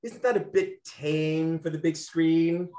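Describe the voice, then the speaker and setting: A performer playing a gruff, suspicious, officious male federal agent in an amateur 1920s period play. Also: mid-range voice, fairly even intonation, takes it slowly, mellow, close to an everyday voice. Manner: brusque, officious.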